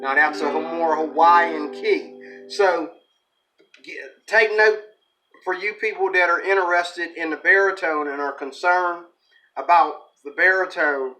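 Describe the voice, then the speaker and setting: A man's voice: on the high side, steady tone, measured pace, sharp tone, soft background music, rough recording. A man giving an informal ukulele lesson, thinking aloud in a rambling, folksy way and correcting himself as he goes. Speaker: ukulele teacher and channel host. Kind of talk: informal instructional talk with playing. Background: ukulele strumming.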